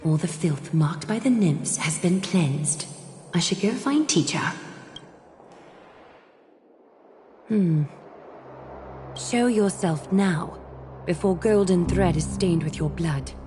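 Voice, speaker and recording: Low, composed, stern noble lady. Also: animated, warm tone, faint music behind. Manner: formal, measured, cool. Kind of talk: game voice acting.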